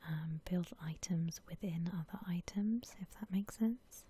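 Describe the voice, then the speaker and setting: A woman gives ASMR game commentary in a very breathy whisper. Her delivery is slow and gentle, as if thinking aloud. The tone is soft and mellow throughout. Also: fairly low pitch. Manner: gentle, unhurried, musing aloud. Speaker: host of an ASMR gaming channel.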